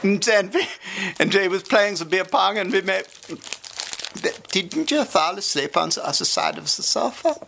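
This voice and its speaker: A woman's voice: medium pitch, sing-song, normal speed, vocal fry, a bit bright, a little hiss or hum. A woman playing a pompous German man who complains in clumsy English with a put-on German accent. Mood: indignant. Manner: indignant, haughty complaining in broken English. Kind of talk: improvised comedy sketch.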